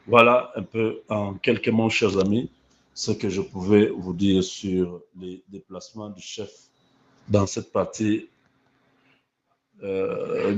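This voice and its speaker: A man, hoarse from a cold, talking in a slow, halting way with many fillers as a livestream host. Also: lowish voice, dull audio. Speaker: online channel host. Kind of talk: casual livestream commentary.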